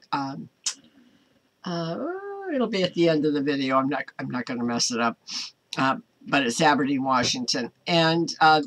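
Older American woman, halting and deliberate. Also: on the low side, fairly bright tone, rough recording. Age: older, around 70s. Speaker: host of an online Bible study. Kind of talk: informal devotional talk to camera with scripture reading. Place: small home office, indoors.

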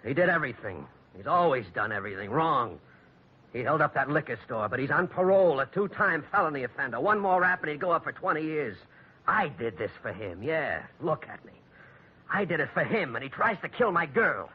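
A muffled old radio drama recording of a young American tough behind bars. His voice is husky and rough, full of bitterness and desperation, with slangy emotional lines. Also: on the high side, sing-song, a little fast. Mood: bitter. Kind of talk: 1940s radio acting.

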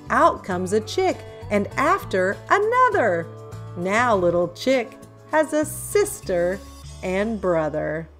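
A woman reading picture books aloud in an expressive, deliberate storytelling style for young children. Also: medium pitch. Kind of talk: read-aloud picture book narration. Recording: music under the voice. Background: background music.

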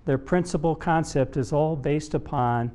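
A middle-aged man delivers a motivational talk on stage into a lapel mic. His voice is fairly high for a man and soft-edged, with a relaxed tone. He phrases his points deliberately and emphatically, pausing between them. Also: smooth. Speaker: motivational keynote speaker and coach. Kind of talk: motivational public talk, storytelling. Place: stage in a conference room before a seated audience.

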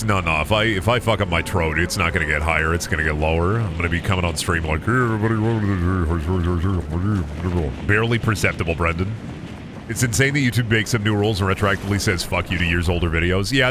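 A man's voice: low voice, fast, noisy background. A man in his thirties streaming a game from home on a headset, chatting in a rambling, self-deprecating way and swearing at the game, his voice sick and close to cracking. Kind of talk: casual gameplay stream chat. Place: home room at a desk.

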